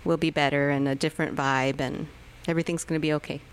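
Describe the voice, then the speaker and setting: A woman in her thirties, a native Spanish speaker, talks as a guest on a radio show. Her voice sits low, and she hesitates often with fillers and restarts. A faint hiss sits in the background of the studio recording. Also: flat delivery, fast talker. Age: 30s. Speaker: musician guest. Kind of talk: casual radio interview chat.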